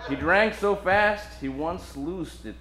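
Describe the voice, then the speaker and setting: A man's voice: high voice, slow, roomy sound, heavy background noise. A man playing a wisecracking, hard-drinking private detective with joking hard-boiled patter in a live comedic radio play.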